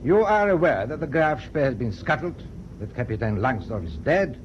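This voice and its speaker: An actor playing a stern, proud German naval captain in a radio drama, speaking in a clipped, formal, authoritative way. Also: male voice, mid-range voice, big pitch swings, normal speed, lightly acted. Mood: grave, resolute. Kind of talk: BBC radio drama acting. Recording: dull, noisy old radio broadcast tape.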